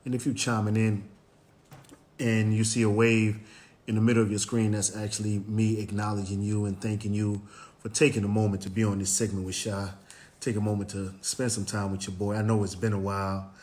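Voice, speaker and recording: A man hosting a motivational Facebook Live show, hoarse and raspy from cheering, greeting viewers by name, with plenty of "um" and "you know". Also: fairly low pitch, flat delivery, brisk, darkish tone. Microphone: live stream, phone or webcam.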